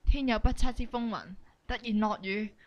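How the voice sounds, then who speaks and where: A woman's voice: fairly high pitch, moderate pace, slight background noise. A woman with a Hong Kong Cantonese accent hosting a comic radio programme with scripted, mock-instructional narration. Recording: dull, lo-fi amateur recording.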